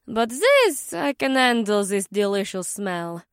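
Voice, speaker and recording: A woman's voice: medium pitch, sing-song, unhurried, clean tone, no echo, studio quality. A woman voicing a confident, teasing dragonkin warrior in an audiobook, with a wry, playful delivery. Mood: amused, approving. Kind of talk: audiobook character acting.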